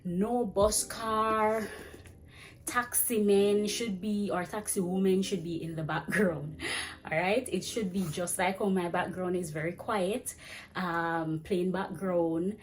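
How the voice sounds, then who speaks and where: A young woman giving chatty, fast-paced teaching advice to camera in a small indoor room. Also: medium pitch, low-quality recording. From Jamaica. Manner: chatty, fast-talking, animated with hand gestures. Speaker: vlogger and ESL teacher. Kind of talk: casual advice vlog. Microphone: camera framing head to chest.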